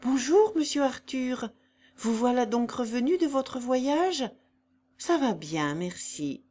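A woman's voice: high-pitched, animated, slow, pitched above the performer's own voice, exaggerated voice. A woman voicing a sweet, simple young peasant girl, dazed and talking in a naive rustic way. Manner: naive rustic speech, bright and eager.

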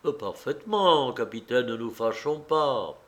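A man's voice: mid-range voice, animated, unhurried, close to an everyday voice. A man voicing a cowardly artist passenger in an audiobook, anxious and pleading with a theatrical false assurance. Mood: pleading, placating. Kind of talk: audiobook character voice.

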